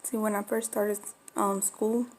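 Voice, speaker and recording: A woman's voice: mid-range voice, little pitch movement, measured pace, darkish tone. A woman from Florida with a slight Mexican Spanish accent, whose first language was Spanish, telling a personal story in a rambling, confiding way on her vlog. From Florida, USA. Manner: rambling, confiding, many fillers. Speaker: bulldog breeder vlogger.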